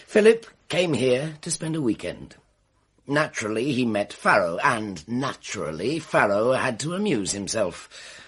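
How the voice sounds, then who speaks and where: A theatrical, embittered man in a radio mystery. His voice is husky and swings widely in pitch, with florid, carefully turned phrasing full of spite. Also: very animated, measured pace, fairly bright tone, heavily acted. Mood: wounded, bitter. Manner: florid, precise, melodramatic delivery. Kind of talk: radio mystery drama acting.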